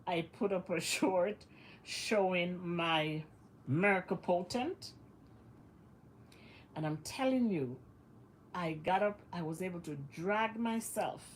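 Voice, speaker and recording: A woman's voice: fairly low pitch, slow pace, long pauses, slight background noise, rough recording. A West Indian woman talking casually to her vlog viewers, sounding congested and nasal from illness and repeating phrases for emphasis. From West Indies. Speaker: vlogger. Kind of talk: casual monologue to camera.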